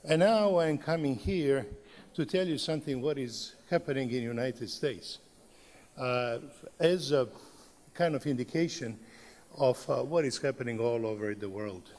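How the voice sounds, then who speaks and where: A man's voice: medium pitch, darkish tone. A middle-aged man from Serbia, a professor, gives a conference lecture in English with a Serbian accent, speaking unhurriedly with many filler sounds. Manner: unhurried, frequent uh fillers, plain non-native phrasing. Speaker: professor giving a conference keynote. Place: large conference hall. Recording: long reverb of a large hall.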